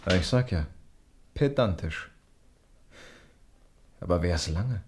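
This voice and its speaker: An actor playing an inquisitive, opinionated detective's partner in a German radio crime drama, with a husky voice. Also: male voice, deep voice, animated, takes it slowly, exaggerated voice. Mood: probing.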